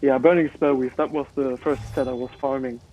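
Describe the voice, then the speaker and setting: A man's voice: mid-range voice, fairly even intonation, a little fast, darkish tone, low-quality recording. A man with a German accent, a native German speaker, chatting casually and commenting on his own gameplay with many filler words. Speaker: gamer.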